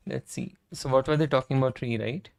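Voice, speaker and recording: Hoarse guy on a coding stream, gravelly low-key voice, keeps saying right?, keyboard clicking behind. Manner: conversational, frequent "right?" tag questions, throat clearing. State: hoarse, voice almost gone. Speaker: streamer and software engineer. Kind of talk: casual live-stream coding talk. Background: keyboard typing and clicks.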